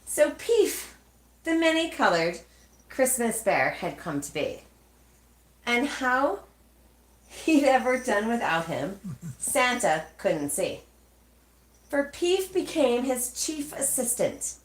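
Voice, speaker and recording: A woman reading a children's storybook aloud expressively, with measured, dramatic phrasing and pauses. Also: on the high side, sing-song. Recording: echoey, roomy sound.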